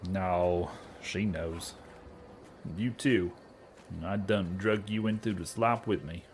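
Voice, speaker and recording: A low-pitched, guilt-ridden young outlaw played by a man talks in a rural Western drawl with folksy, mangled grammar. He is earnest and naive, a bumpkin cowboy in a western comedy radio play, with background noise around him. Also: slow pace. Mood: guilty, brooding. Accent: rural American Western drawl. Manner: folksy, ungrammatical bumpkin talk. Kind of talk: western comedy audio drama acting.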